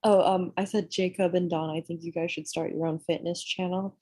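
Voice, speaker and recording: A woman teases the others in a playful way on a casual call. Her voice sits fairly low for a woman. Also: level tone, brisk, dark tone. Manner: teasing, playful. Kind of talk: casual online chat.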